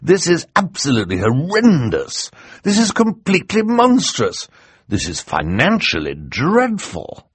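A man's voice: a little high, huge pitch swings, measured pace, breathy edge, no room sound, voice pushed up, full character voice. A man playing a flustered, stuffy middle-aged banker, blustering and emphatic, in an audiobook acting style. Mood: outraged.

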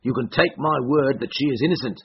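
A man's voice: medium pitch, brisk, husky, highs cut off, voice pushed up. A man acting a bitter, defiant, worn old soldier. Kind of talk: audiobook character voice.

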